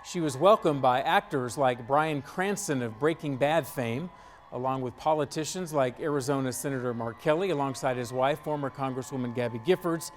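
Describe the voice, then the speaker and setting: A man's voice: medium pitch, normal speed, slight background noise. A man with an American accent reading scripted television news as an anchor.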